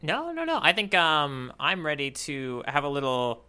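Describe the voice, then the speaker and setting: A man co-hosting a podcast, chatting casually about comics in a halting way, often restarting his sentences. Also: on the high side, huge pitch swings, pushed and bright, smooth. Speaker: podcast co-host. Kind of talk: casual chat about a manga.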